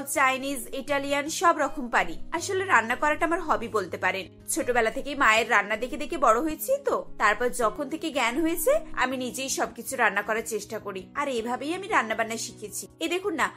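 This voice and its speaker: Woman acting greedy young cartoon bride, high pitch, eager, bossy, impatient, light background music. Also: sharp tone. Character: food-obsessed young bride, eager and demanding.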